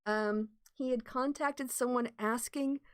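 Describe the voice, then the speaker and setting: A woman hosts a relaxed livestream, reading and answering her chat. Her voice sits a little high, and she talks in an explanatory, opinionated way, restarting sentences as she thinks. The sound is dry and close, with no room echo. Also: takes it slowly, clean tone. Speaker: livestream host. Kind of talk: casual livestream chat, reading viewer comments.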